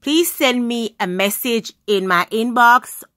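A woman's voice: on the high side, takes it slowly, fairly bright tone, no room sound, clean studio sound. A woman narrating her travel vlog, talking straight to her viewers with enthusiasm. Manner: enthusiastic, addresses viewers directly. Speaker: travel vlogger. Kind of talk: travel vlog narration over phone clips.

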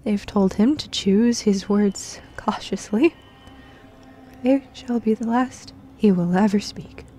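An actress playing a composed, dutiful daughter who hosts a dystopian broadcast in a formal, careful, polite way. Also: female voice, mid-range voice, slow pace, warm tone, faint music behind, close to an everyday voice. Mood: grave, apologetic. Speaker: broadcast host.

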